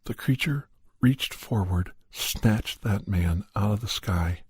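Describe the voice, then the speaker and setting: A man narrating a first-person horror story in an ASMR style, hushed and deliberate, often pausing mid-phrase. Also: on the low side, slightly rough, no room sound. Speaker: podcast host and storyteller.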